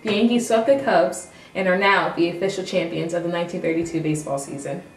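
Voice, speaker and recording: A woman's voice: fairly low pitch, steady tone, fast talker. A woman reading a scripted amateur news report as a reporter. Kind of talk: scripted amateur mock newscast. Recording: echoey room, distant mic, faint noise.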